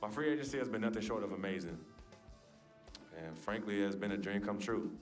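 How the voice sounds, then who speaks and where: An actor playing an earnest, grateful young basketball star giving a measured, formal speech in a video game cutscene. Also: male voice, lowish voice, soft background music, close to an everyday voice. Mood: grateful, composed. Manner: measured, formal, deliberate public speaking.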